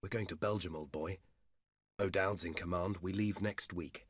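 A man reading an audiobook, voicing a loyal, serious army captain who speaks quietly. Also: deep voice, fairly even intonation, moderate pace, husky. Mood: earnest. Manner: quiet, earnest.

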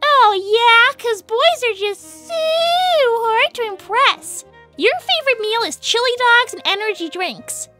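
A woman's voice: very high-pitched, expressive, slow pace, bright, forceful tone, soft background music, exaggerated voice. Amy, voiced by a woman, gushing pink hedgehog girl. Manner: gushing, dramatic, indignant. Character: lovestruck fiery young female pink hedgehog. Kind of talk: fan-made cartoon voice acting.

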